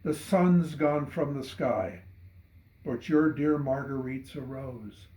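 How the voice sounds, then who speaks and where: A man's voice: mid-range voice, takes it slowly, mellow, natural voice. A man voicing a cheerful, kindly neighbor farmer with a hearty, emphatic manner in a poem reading. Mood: kindly, reassuring. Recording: roomy echo.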